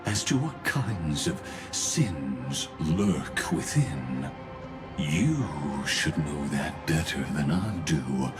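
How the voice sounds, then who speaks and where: Very deep, slow villain genius. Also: male voice, very animated, loud music behind the voice, exaggerated voice. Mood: ominous, measured. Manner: formal, measured, courteous, ominous. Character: cold, courtly male genius villain, cryptic schemer. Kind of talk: scripted video game dialogue.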